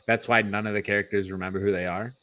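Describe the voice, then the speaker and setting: A man's voice: on the low side, fairly even intonation, fast talker, pushed and bright. A man co-hosting a podcast, discussing a film casually in an informal, opinionated, questioning manner. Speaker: podcast co-host.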